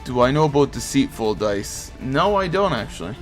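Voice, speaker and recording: Male streamer, Portuguese accent, laid-back chatty host, moderate pitch, faint background music. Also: big pitch swings, moderate pace. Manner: easygoing, chatty, reacts to chat. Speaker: streamer hosting a card game tournament. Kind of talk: casual live-stream chat reading viewer comments.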